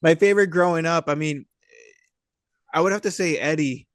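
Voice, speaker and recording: A man's voice: a little high, normal speed, no echo, studio quality. A man chatting casually as a podcast guest, enthusiastic and slangy, hesitating through lots of likes and dudes. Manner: enthusiastic, slangy, swearing, hesitant phrasing full of 'like' and 'dude'. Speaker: podcast guest, independent filmmaker. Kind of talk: casual chat.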